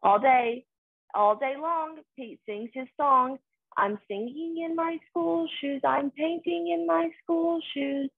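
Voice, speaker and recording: A young adult woman reading a children's picture book aloud in a sing-song, deliberate way, recorded indoors. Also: high voice, vocal fry. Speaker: story reader. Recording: phone-like, narrow-band sound.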